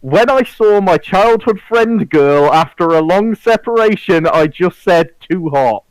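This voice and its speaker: Animated, higher-voiced man bantering on a podcast. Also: unhurried, no room sound, low-quality recording. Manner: sweary, teasing. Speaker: podcast co-host. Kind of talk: casual jokey group podcast chat.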